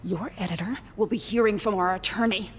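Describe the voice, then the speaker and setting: An actress playing an indignant auction house manager in a video game, stern, formal and clipped. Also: female voice, mid-range voice, brisk, close to an everyday voice. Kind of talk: video game cinematic acting.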